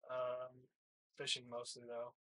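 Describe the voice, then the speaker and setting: A young man of nineteen speaks as a podcast guest. His voice is bright and crisp-edged at a middle pitch, and he answers casually, like an athlete chatting indoors. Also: completely flat delivery, slow. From hill country, United States. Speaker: college baseball player, interview guest. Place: small plain room, indoors, seated.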